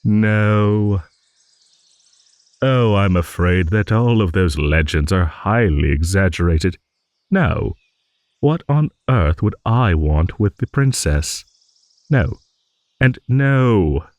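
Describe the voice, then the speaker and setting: A man voicing an ancient, genial dragon in an improvised fantasy audio roleplay, rambling and musing like a refined, hesitant old gentleman. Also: lowish voice, animated, slow pace, pauses often, no room sound, studio quality. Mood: amused, reminiscing.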